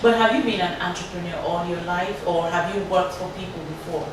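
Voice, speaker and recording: A high-voiced man hosting an interview, asking measured questions and filling in with short "okay"s and "mm-hmm"s. He sits far from the mic in a very echoey room with clear background noise. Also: steady tone. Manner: brief backchannel replies, measured questions.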